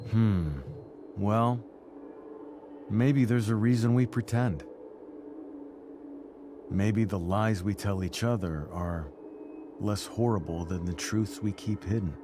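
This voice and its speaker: An actor playing a gentle, teasing middle-aged father in a naturalistic video game drama, with a playful, reassuring manner. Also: fairly low pitch, takes it slowly, long pauses, noisy background. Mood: wry, reflective.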